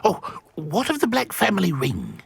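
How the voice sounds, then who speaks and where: Husky little house elf. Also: male voice, sits high, wildly swooping pitch, moderate pace, dry recording, full character voice. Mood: wistful, resigned. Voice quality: raspy. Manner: servile, plaintive, refers to self by name. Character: small servile male house elf, speaks in third person. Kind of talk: video game character acting.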